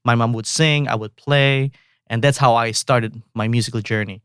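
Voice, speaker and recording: A man from Indonesia with an Indonesian accent, a composer guest talking in a halting, relaxed way with many fillers and restarts. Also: medium pitch, smooth, no room sound, studio quality. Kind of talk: casual interview chat.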